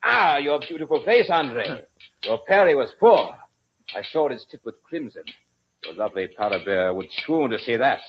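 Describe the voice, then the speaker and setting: A man's voice: mid-range voice, animated, normal speed, slight background noise, lightly acted. An actor playing an aristocratic, battle-hardened soldier and swordsman in a theatrical, florid old-time radio drama style. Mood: taunting. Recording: dull, muffled old radio recording.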